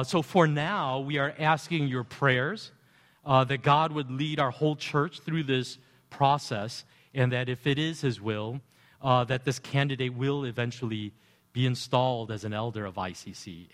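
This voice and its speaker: A man speaking as a pastor, addressing his congregation. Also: fairly bright tone. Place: large church sanctuary. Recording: long hall reverb, booming sound system.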